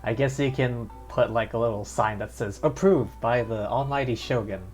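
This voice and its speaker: A man's voice: medium pitch, normal speed, darkish tone. A man streaming a game live, commenting in a laid-back, wry way. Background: game music.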